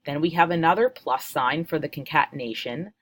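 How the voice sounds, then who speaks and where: Low-voiced woman teaching coding. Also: brisk, a bit bright, a little hiss or hum. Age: 40s. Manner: patient, step-by-step, upbeat. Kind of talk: screen-share coding tutorial.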